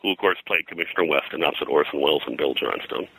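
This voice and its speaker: An older man reminiscing as an interview guest, rambling and halting, often repeating words as he goes. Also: very deep voice, gravelly, pushed and bright, slightly rough, dry recording, low-quality recording. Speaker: interview guest, pop culture historian and pulp publisher.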